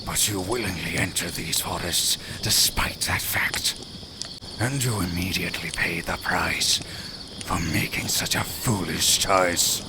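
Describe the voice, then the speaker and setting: A man's voice: low voice, fairly even intonation, measured pace, very noisy. A man voicing a haughty, sardonic lone werewolf in an audio roleplay, speaking to the listener in formal, condescending, theatrical phrases. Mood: mocking, menacing. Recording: night forest ambience with crickets, clearly audible. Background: outdoor forest sounds, crickets.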